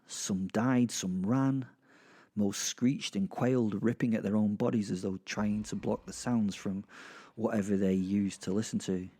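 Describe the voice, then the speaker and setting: A man playing a gleeful, foul-mouthed werewolf who narrates a dark comic horror audio drama in a rambling, conversational, sardonic way. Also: lowish voice, steady tone, unhurried, husky. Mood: wry, bemused. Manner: rambling, sardonic, sweary asides with sighs and chuckles. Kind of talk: first-person storytelling, conversational dark comedy.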